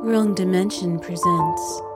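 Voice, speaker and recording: A woman's voice: on the low side, takes it slowly, over loud music. A woman reading scripted title announcements as the announcer of an audio drama.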